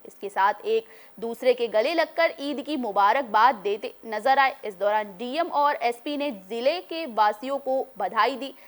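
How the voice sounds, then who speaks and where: A woman with a fairly high voice works as a news anchor. She reads scripted reports in a formal bulletin style, as narration for a news broadcast. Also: unhurried.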